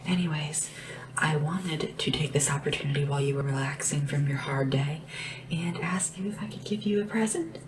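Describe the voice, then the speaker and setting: Woman as a low, soft-spoken devoted little assistant. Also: close to an everyday voice. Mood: gentle, eager. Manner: soft, deferential, caring, unhurried. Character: small, devoted, gentle assistant to a dragon monarch. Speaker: ASMR roleplay performer. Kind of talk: soft-spoken ASMR roleplay, often whispering. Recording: clearly noisy lo-fi ASMR recording. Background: tapping and background hiss.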